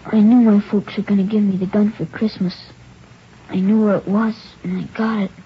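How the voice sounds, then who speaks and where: A performer playing a guilt-stricken nine-year-old boy in an old radio drama, halting, breathy and pleading. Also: medium pitch, level tone, lightly acted. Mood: tearful, pleading. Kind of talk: 1950s radio drama acting. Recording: dull, noisy old radio recording.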